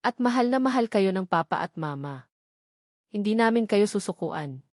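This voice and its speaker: A woman's voice: mid-range voice, lively intonation, moderate pace, darkish tone, no echo, studio quality, lightly acted. A woman narrator playing an earnest, protective father in a dramatic family story. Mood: reassuring. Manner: earnest, reassuring, resolute.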